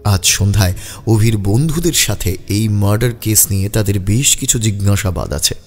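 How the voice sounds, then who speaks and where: A man's voice: lowish voice, lively intonation, moderate pace. A man reading scripted narration for a detective audio story. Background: music.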